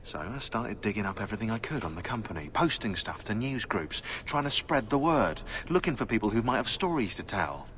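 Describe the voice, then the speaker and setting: An actor playing a sardonic, eccentric British conspiracy researcher turned assassin in a video game, chatty, opinionated and sarcastic. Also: male voice, fairly low pitch, big pitch swings, a little fast, hardly any pauses, fairly bright tone, slight background noise. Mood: earnest, reflective. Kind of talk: game cutscene dialogue.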